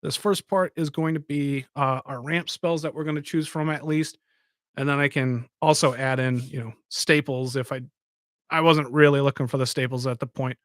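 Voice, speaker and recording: A man's voice: medium pitch, steady tone, normal speed, no room sound, studio quality. A man hosting a live stream, chatting casually and rambling as he talks to his viewers. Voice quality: says he has a soft voice. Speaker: podcast host.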